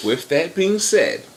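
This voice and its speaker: A man giving blunt, profane opinions in casual chat as co-host, with sudden jumps in pitch.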